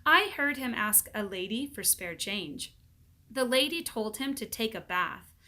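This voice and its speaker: A woman puts on a little storybook schoolgirl, proud and earnest. The voice is bright and lifted, telling things matter-of-factly. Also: on the high side, animated, unhurried. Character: little girl proudly presenting at show and tell.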